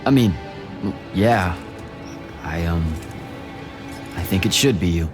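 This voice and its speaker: An actor playing a young, wry and earnest hero mage in a video game cutscene, speaking with casual, hesitant banter. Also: male voice, low-pitched, takes it slowly, music in the background. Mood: awkward, insecure.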